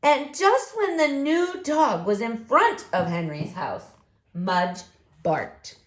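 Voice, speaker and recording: A woman reading a storybook aloud to young children in an expressive, emphatic, deliberate way. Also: on the high side. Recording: roomy echo, faint background noise.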